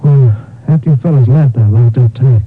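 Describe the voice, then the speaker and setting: A man's voice: mid-range voice, normal speed, no room sound, faint music behind, close to an everyday voice. Man acting young rancher, mid-range male voice, folksy, uneasy, hospitable, old-time radio drama, muffled lo-fi recording. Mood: uneasy, defiant. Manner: informal, folksy.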